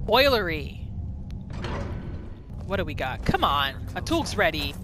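A woman giving casual, excitable role-play commentary as a gaming video host, often repeating her phrases. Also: lowish voice, measured pace. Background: game music and sound effects.

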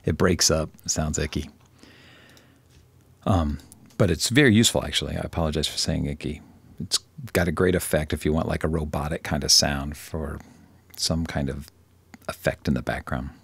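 A man with a very deep voice gives an informal software tutorial. There is plenty of gravelly fry at the edges, and the sound is dry. He wanders slightly and corrects himself with dry humour. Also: normal speed, no room sound. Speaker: tutorial presenter.

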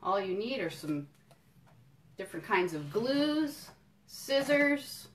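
A woman doing a children's storytime, reading a picture book in deliberate phrases and explaining things simply to young listeners. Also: mid-range voice, very animated. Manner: addresses young listeners directly with questions and encouragement. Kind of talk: children's storytime read-aloud with friendly asides.

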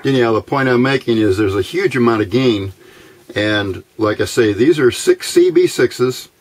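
A middle-aged American man giving a casual, halting instructional narration as an electronics hobbyist, recorded indoors at a workbench on a camera microphone. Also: mid-range voice, animated, a little hiss or hum. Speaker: electronics hobbyist demonstrating a homemade receiver.

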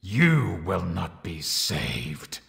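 A man voicing a cruel, decayed animatronic rabbit in a horror game, deep and gravelly, slow and ominous, in long reverb. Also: huge pitch swings, a bit bright, exaggerated voice. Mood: cruel, threatening. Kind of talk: horror game character voice lines.

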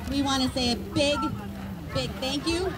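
A woman running a kids' game at an outdoor event, giving encouraging instructions loud enough for a group to hear. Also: high-pitched, unhurried. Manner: encouraging, upbeat, loud to be heard. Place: outdoor park field with a crowd of children. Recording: crowd and outdoor noise, phone camera. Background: crowd of children and outdoor noise.

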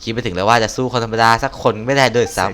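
A man's voice: medium pitch, racing, a bit bright, natural voice. A man voicing a shrewd, composed noble clan leader from a game, speaking formally with mocking rhetoric. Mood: mocking reproach.

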